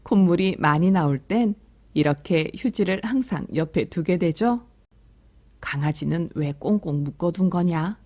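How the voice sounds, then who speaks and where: Mellow, lower-pitched woman reading to children. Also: unhurried. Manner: soothing, child-directed. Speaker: storytelling teacher for young children. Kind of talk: picture book read-aloud with commentary to children.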